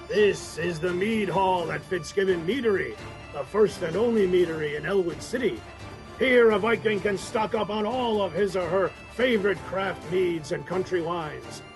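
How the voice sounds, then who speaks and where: A man playing a theatrical Viking warrior in a comedic scripted radio ad, with a gravelly voice. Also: high voice, lively intonation, normal speed, soft background music, low-quality recording. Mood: mock-solemn, hearty.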